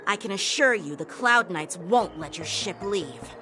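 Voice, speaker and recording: A woman's voice: medium pitch, animated, a little slow, music in the background, natural voice. A woman voicing a brave, earnest young girl knight in a video game, spirited and firm. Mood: firm, polite.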